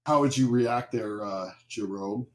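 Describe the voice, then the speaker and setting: A man's voice: mid-range voice, unhurried. A man as game master, improvising narration and explaining rules in a conversational way for a horror tabletop game.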